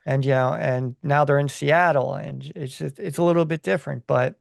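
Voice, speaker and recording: A man giving his opinions in a casual podcast chat, enthusiastic but halting as he thinks aloud. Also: a little high, fairly even intonation, vocal fry, no room sound, studio quality.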